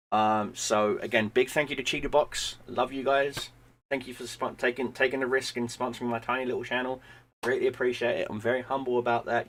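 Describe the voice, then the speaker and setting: Man, hoarse, losing voice, rambling, repeats himself, home stream chat. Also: mid-range voice. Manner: rambling, repeats words, friendly. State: losing his voice, sinus infection. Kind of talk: casual stream sign-off chat.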